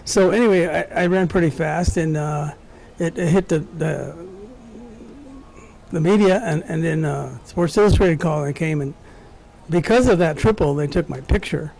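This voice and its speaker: Higher, creaky-voiced older man reminiscing. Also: moderate pace, darkish tone, rough recording. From born in Mexico, grew up in the United States from early childhood. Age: 60s. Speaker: interview guest, former track runner. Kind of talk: casual personal recollection.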